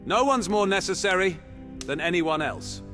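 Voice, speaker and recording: A man's voice: high-pitched, expressive, moderate pace, music in the background. A man playing a proud, gossipy paladin who banters and teases in a fantasy roleplay audio drama. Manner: teasing, indignant, conspiratorial.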